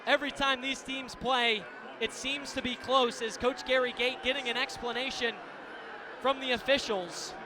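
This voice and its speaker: A man with a very high-pitched voice calls lacrosse live on the radio. He describes the action in short, vivid running phrases, with clear game noise around him. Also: steady tone, normal speed. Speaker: play-by-play announcer. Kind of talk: live radio sports commentary. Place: sports venue broadcast position. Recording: loud arena noise behind commentary. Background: loud game ambience.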